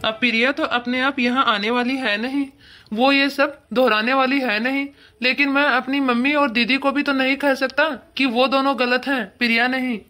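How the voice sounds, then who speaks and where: Woman voicing a high-voiced, remorseful young husband. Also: steady tone, moderate pace, sharp tone, soft background music. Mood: regretful. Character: remorseful adult son and husband, ailing, pleading. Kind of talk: cartoon moral story acting.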